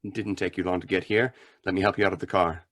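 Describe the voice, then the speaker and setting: A man playing a grave, philosophical crime fighter with a measured, earnest manner in a radio drama. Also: sits low, steady tone, a bit bright. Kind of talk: old-time radio drama acting.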